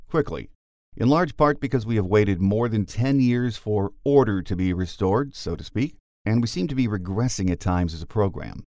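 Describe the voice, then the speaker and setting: A man hosting a sports podcast, giving measured scripted commentary that speaks directly to fans. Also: lowish voice, a little mellow, no room sound, clean studio sound. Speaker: podcast host.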